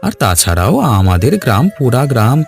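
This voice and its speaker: A man's voice: fairly low pitch, lively intonation, brisk, soft background music. A man playing a brash, quarrelsome young villager in a cartoon, talking bluntly and goading in colloquial speech. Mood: teasing. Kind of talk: Bengali cartoon dialogue.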